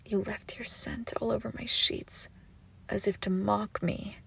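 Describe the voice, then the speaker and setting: A woman voicing an obsessive, icy and menacing crime-boss ex-girlfriend in an intimate audio roleplay, speaking deliberately with swings between whispers and outbursts. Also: mid-range voice, fairly even intonation, vocal fry, a bit bright, breathy edge, exaggerated voice. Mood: bitter, hurt.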